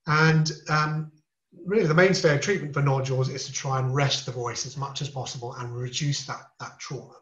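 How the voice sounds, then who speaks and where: A man explaining throat complaints to a podcast host in a measured, reassuring way, with small restarts as he talks. He sounds like a seasoned specialist. The sound is roomy and a little dull. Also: medium pitch, fairly even intonation, a bit bright. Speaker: ear, nose and throat specialist guest. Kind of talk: podcast interview, explaining medical topics.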